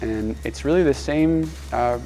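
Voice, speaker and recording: A man who works as a performance coach, speaking in a measured, explanatory documentary interview. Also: medium pitch, warm tone, faint music behind, poor audio.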